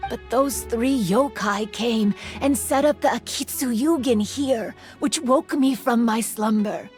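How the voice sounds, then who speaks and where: A woman voicing a fading, world-weary yokai spirit in a video game, telling stories in a solemn, reflective manner with breathy phrase endings. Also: a little high. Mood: weary, defensive.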